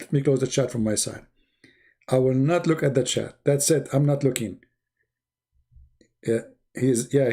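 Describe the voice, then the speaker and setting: Male livestream host, gravelly and mellow-toned, rambling mocking banter. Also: mid-range voice. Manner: mocking, rambling, joking asides. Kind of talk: casual livestream chat.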